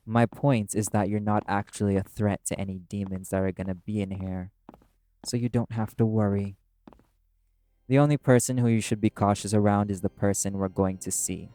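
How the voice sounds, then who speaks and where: Man playing a brash, low, mellow-toned proud demon. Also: steady tone, moderate pace, natural voice. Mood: impatient, scornful. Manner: terse, scornful, commanding, swearing. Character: brash, proud, curt demon, prickly and guarded. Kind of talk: audio roleplay acting.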